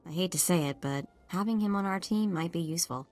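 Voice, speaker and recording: A woman's voice: fairly low pitch, fast talker, a little mellow, close to an everyday voice. A woman playing a young, earnest sidekick to a bounty hunter in a video game. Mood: reluctant.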